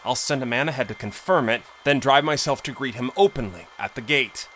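A man's voice: mid-range voice, fairly quick, fairly bright tone, slight background noise, natural voice. Man playing an imperious, suspicious court official. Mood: indignant. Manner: imperious, dismissive, brooding. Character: proud, suspicious embezzling court official of middle rank. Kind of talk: audio drama acting.

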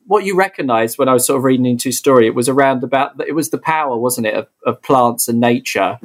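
Man, podcast interviewer, friendly, tag questions, mid-pitched voice. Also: quick pace.

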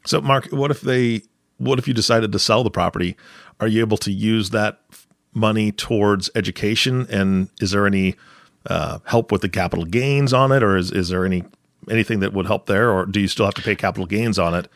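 A man's voice: lowish voice, fairly quick, no echo, clean studio sound. An older man, a grandfather, hosting a podcast and asking rambling follow-up questions. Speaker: podcast host. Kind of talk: podcast interview.

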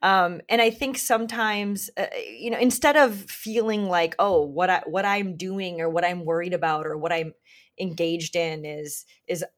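A woman's voice: on the low side, steady tone, normal speed. A woman co-hosting a conversation, speaking earnestly and reflectively, with many fillers as she works out her thoughts. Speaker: podcast co-host and community leader. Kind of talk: casual conversational interview.

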